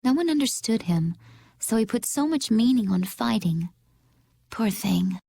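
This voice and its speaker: A woman voicing a composed, gently teasing young warrior in an anime video game, calm, poised and knowing. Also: fairly high pitch, unhurried, vocal fry, breathy edge, dry recording, heavily acted.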